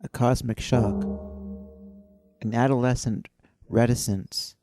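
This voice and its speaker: A man telling a dreamlike spoken-word adventure tale in halting, musing phrases with dramatic pauses. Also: medium pitch, fairly even intonation. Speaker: storyteller, narrator. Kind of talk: loose, dreamlike spoken-word storytelling in an old-time radio adventure manner. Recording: voice over easy-listening music bed. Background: instrumental easy-listening music with guitar.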